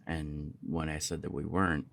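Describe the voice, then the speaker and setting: A man playing a jealous, lovesick young punk roommate in an ASMR roleplay audio drama, speaking in a halting, confessional way with swearing. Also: rumbling low, level tone, vocal fry, a bit bright, full character voice. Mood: quiet, confiding.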